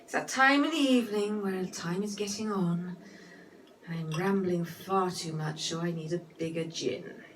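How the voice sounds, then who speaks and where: A woman's voice: lowish voice, moderate pace, a little hiss or hum. A woman cabaret entertainer with a playfully genteel, rambling manner, performing comic music-hall songs and chatty patter. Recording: music mixed loudly with the voice. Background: loud musical accompaniment with guitar.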